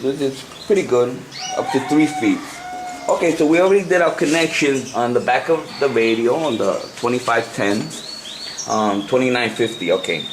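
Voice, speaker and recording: Puerto rican guy doing a casual how-to, halting, repeats himself, medium pitch, pretty noisy homemade recording. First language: Spanish. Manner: halting, repetitive, self-correcting. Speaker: amateur radio hobbyist giving a tutorial. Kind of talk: casual hands-on demonstration. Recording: noisy amateur camcorder sound.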